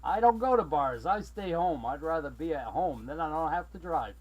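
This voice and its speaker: A man from Massachusetts chatting as a CB radio operator, friendly and excitable, calling out callsigns and sign-offs. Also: a little high, moderate pace, lo-fi sound, very noisy. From Massachusetts, USA. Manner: excitable, friendly, prone to raising his voice. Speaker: CB radio operator running the channel from South Carolina.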